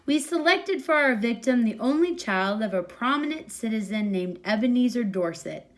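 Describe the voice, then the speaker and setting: A woman's voice: a little high, lively intonation, normal speed. Woman reading a short story expressively. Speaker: story reader.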